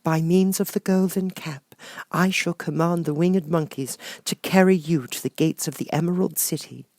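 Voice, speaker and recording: A man voicing a kindly young good witch in a storybook, gentle, gracious and measured. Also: fairly high pitch, no room sound, pitched above the performer's own voice, natural voice.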